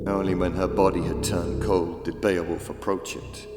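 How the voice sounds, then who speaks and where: A man narrating a children's audiobook as dramatic, deliberate, theatrical storytelling. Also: low voice, level tone, darkish tone. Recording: echoey reverb with faint music.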